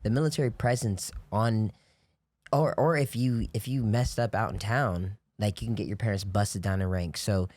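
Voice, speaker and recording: A man's voice: lowish voice, normal speed, darkish tone, no room sound, clean studio sound. A young man in casual chat, telling long stories in a rambling way with many restarts and "you know, like". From grew up on US military bases in Japan. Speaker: podcast guest.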